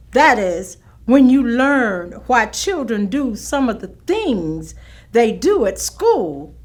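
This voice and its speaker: An actress playing a proud, firm veteran schoolteacher looking back in a staged reading. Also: female voice, on the high side, heavily acted. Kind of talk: staged monologue of oral history recollections.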